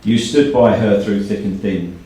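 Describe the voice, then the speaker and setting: A man with a fairly low voice is hosting a live event with prepared words and verse. He sounds distant from the microphone, with heavy room echo around him. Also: measured pace, dark tone. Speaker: event host. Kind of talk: prepared speech and poetry reading to an audience. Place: indoor live launch event. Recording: very echoey hall, far from mic.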